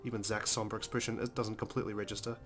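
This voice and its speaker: Low-voiced man narrating a game over music. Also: little pitch movement, racing, a bit bright. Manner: dramatic, emotionally involved reading. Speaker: let's play host. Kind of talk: reading visual novel narration aloud with casual commentary.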